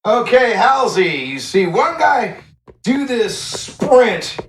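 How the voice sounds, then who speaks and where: A man's voice: extremely high pitch, lively intonation, slow, creaky. A man acting as game master, casually narrating a tabletop fantasy game with improvised, descriptive play-by-play.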